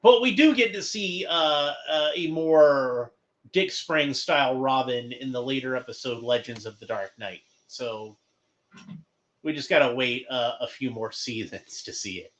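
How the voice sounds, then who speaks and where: A man hosting a watchalong, giving casual fan commentary in a halting, conversational way, thinking aloud as he goes. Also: medium pitch, a bit bright. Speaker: host of a cartoon watchalong commentary. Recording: dull lo-fi home recording, background noise.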